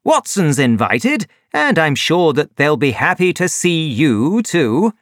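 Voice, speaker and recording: A reader voicing an anxious gentleman who asks his friends to stay. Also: a little high, very animated, slow pace, dry recording, clean studio sound, pitched above the performer's own voice. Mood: worried. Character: anxious gentleman host, worried.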